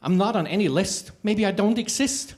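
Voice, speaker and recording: A man doing a small lost creature's plaintive squeak. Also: normal speed, darkish tone, close to an everyday voice. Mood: tearful. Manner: pleading, questioning, high and sing-song. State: sobbing at times. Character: small lost nameless creature, sad and searching.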